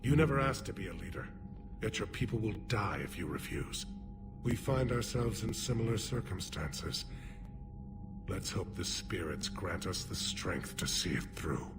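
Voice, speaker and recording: A man's voice: fairly low pitch, animated, moderate pace, lightly acted. An actor playing a weary, grave male alien military leader, speaking solemnly and with dignity over game music. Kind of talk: video game voice acting.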